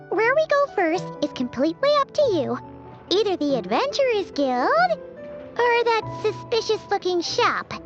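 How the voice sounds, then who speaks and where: Super high squeaky little fairy girl, bubbly game guide, bouncy pitch, bit muffled with music behind. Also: exaggerated voice. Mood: wary. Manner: bubbly, boastful, speaks of herself in third person. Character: tiny cheerful floating fairy-like girl companion and guide. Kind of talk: video game character dialogue. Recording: dull game stream audio under music.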